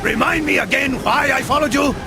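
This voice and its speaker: A man's voice: very high-pitched, fairly quick, creaky. A man playing a cheeky, grumbling Spanish mercenary sidekick in a video game, theatrical and comic in his complaining. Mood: exasperated. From Spain. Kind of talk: video game cutscene acting. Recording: loud music behind the voice.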